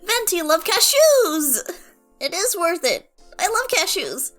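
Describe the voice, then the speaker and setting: A woman voicing a cheeky, excitable young male bard from a fantasy game in a theatrical, chirpy manner, with music behind. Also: very high-pitched, slow, pitched above the performer's own voice, exaggerated voice. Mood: gleeful.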